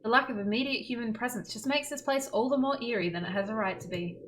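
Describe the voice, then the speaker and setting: A woman streamer reading a game's story aloud in an expressive storytelling manner. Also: fairly high pitch, quick pace, noisy background.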